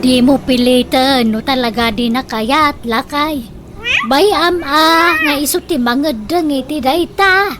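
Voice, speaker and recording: A woman's voice: high voice, slow pace, loud music behind the voice. A woman playing a heartbroken, stubborn young single woman, plaintive and firm as she refuses suitors in a radio drama. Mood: defiant.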